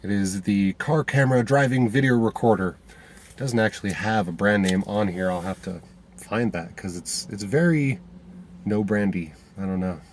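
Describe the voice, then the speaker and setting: A man's voice: lowish voice, normal speed, a little hiss or hum. A man vlogging from inside his car, stuffy from a cold, talking casually to the camera with lots of fillers and restarts. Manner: rambling, many fillers and restarts.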